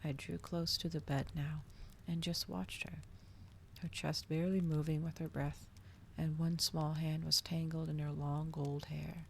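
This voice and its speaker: Low, creaky woman reading softly, ASMR. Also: level tone, a little slow, a little hiss or hum. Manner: soft, hushed, breathy, often slipping into whisper. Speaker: streamer reading a novel aloud.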